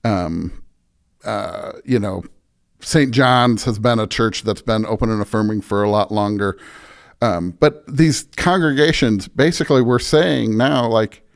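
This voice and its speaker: A man's voice: lowish voice, a little slow, vocal fry. An American man speaking as a pastor in an interview, reflective and earnest, with emphatic, preacher-like repetition. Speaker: pastor, podcast guest.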